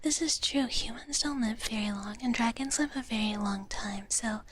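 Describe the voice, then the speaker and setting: A woman voicing a gentle, naive dragon big sister in a soft breathy whisper, rambling hesitantly to viewers in a live ASMR stream. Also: fairly high pitch, low-quality recording. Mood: curious, musing. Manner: hushed, refers to herself in third person, hesitant rambling. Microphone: close ASMR microphone.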